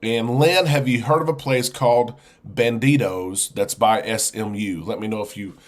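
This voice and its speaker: A man's voice: on the low side, steady tone, moderate pace. A man hosting a live show, chatting casually and greeting viewers one by one, congested and sniffly from a chest cold. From United States. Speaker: host.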